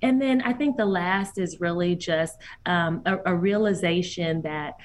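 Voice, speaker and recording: A woman executive, a guest in an interview, speaking earnestly and encouragingly, often pausing with fillers. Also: fairly low pitch. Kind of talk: conversational interview.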